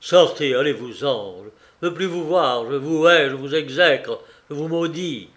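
A man voicing a proud, ruthless male banker in an audiobook reading, loud, cynical and cutting. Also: a little high, lively intonation, unhurried. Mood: raging.

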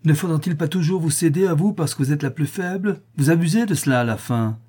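A man voicing a fierce, quick-tempered woman prisoner who shouts and bullies, in a husky voice. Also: fairly high pitch, pitched above the performer's own voice. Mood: angry, scornful. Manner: harsh, shouting, bullying.

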